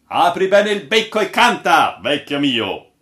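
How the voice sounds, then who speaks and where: A man voicing a commanding, impatient ship's captain in a storybook, loud and imperious. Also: mid-range voice, reverberant, lightly acted. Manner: imperious, loud, brisk orders.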